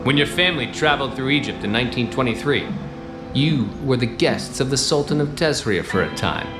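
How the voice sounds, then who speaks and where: An actor playing a wisecracking, hard-boiled 1940s detective with clipped, gruff, deadpan delivery. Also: male voice, medium pitch, a little fast, reverberant, over music, natural voice. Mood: commanding. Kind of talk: 1940s-style radio play acting.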